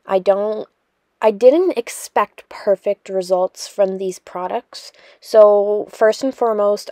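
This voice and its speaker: A woman's voice: mid-range voice, a little mellow, no room sound, studio quality. A young woman narrating her cleaning vlog in a chatty, rambling voice-over full of fillers. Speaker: cleaning vlogger.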